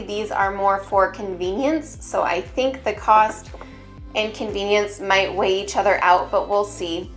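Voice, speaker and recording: A woman's voice: medium pitch, a bit bright. A young adult woman casually reviewing keto snacks at her dining table, chatty and explaining step by step. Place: dining room at home, indoors.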